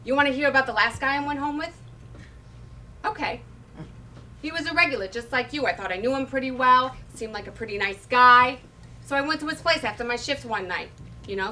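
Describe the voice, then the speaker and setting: A woman's voice: high voice, sharp tone. A woman playing a blunt, exasperated female bar worker in a comic stage monologue, casual and conversational. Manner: casual, profane, conversational storytelling. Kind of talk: live comedic stage monologue. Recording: distant mic, noisy live room.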